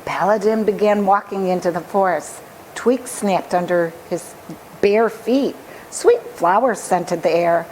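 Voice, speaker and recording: Female storyteller for children's book: very unhurried, dramatic phrasing, slightly high voice, faint noise. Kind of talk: expressive children's story reading.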